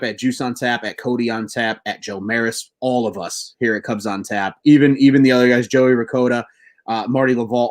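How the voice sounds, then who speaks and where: A young man in his twenties co-hosts a sports podcast, his voice sitting at an ordinary middle pitch. He talks in an excitable, rambling stream and swears freely. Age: 20s. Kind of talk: casual sports chat.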